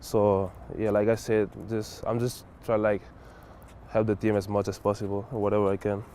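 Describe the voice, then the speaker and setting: A man's voice: on the low side, moderate pace, slight background noise. A young man from Spain, a college basketball player, answering interview questions casually and filling his talk with "like" and "whatever". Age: young adult. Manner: relaxed, many fillers like "like" and "whatever".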